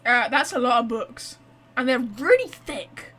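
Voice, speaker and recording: A woman's voice: on the high side, very animated, unhurried, a bit bright. A woman giving casual, playful, reactive commentary while playing a game. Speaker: game streamer.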